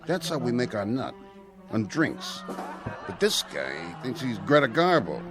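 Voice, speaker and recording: An actor playing a cynical, grumbling nightclub boss in a radio play. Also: male voice, mid-range voice, sing-song, a little slow, music in the background.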